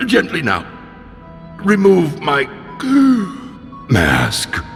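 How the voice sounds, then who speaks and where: An actor playing a villainous undead zombie pirate in a video game, gravelly and theatrical, with gloating, drawn-out menace. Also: male voice, on the high side, huge pitch swings, music in the background, full character voice. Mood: pained, wheedling. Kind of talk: video game character acting. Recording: long cavernous reverb.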